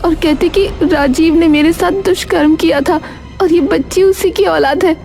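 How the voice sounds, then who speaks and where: Woman acting high-pitched scheming, wailing cartoon villainess. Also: moderate pace, a little mellow, faint music behind. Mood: scheming confession. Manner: tearful, accusing, self-pitying. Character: scheming, wailing young woman nursing a grudge. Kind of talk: cartoon moral story acting.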